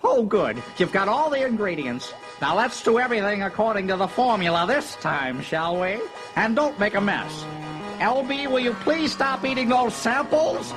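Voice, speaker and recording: A scheming little troll villain, pitched high and swinging widely as he bosses his underling. He is fussy and exasperated, straight out of a children's cartoon story, with loud music underneath. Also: expressive, normal speed, rough recording. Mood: fussy, impatient. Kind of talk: children's story cassette voice acting.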